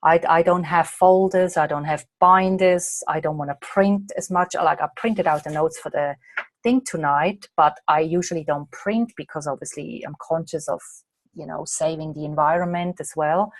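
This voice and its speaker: A slightly hoarse woman from Australia, a coach answering viewer questions in a chatty way during a live online masterclass late at night. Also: sits low, fairly even intonation, normal speed, no room sound. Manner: chatty, reads out viewer comments and answers them. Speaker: coach and presenter.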